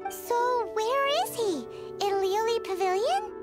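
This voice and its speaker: Tiny game fairy sidekick: extremely high squeaky child voice, excitable, very slow, deliberate delivery over loud music. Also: female voice, full character voice. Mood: puzzled. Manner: speaks of herself in third person, exclaiming. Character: small floating fairy girl companion, childish, excitable, hungry. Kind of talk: video game character voice acting.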